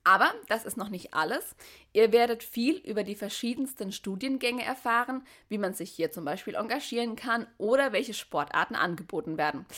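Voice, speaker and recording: A woman in her twenties co-hosting a student podcast and reading her part clearly from a script. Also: medium pitch, fairly bright tone. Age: 20s. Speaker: podcast co-host.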